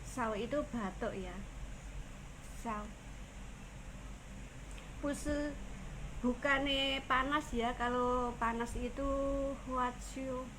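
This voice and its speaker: A woman from Indonesia with a Javanese Indonesian accent, a caregiver teaching phrases in a casual lesson, saying each one slowly twice. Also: a little high, fairly even intonation. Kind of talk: casual language lesson vlog. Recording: noisy ambience.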